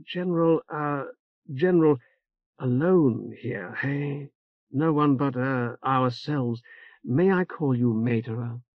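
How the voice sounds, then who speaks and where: A man's voice: medium pitch, no room sound. A man voicing a fretful older male churchman who stammers, hedges and tags lines with "eh?", in a dull, muffled recording. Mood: anxious, fumbling. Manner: halting, full of "uh" and "eh?" tags. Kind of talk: audiobook character voice.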